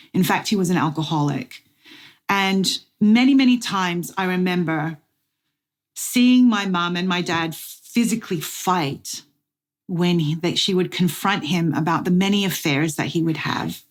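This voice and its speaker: A middle-aged woman giving a testimony sermon as guest speaker, slow, deliberate and earnest, with dramatic pauses. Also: on the low side. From Australia.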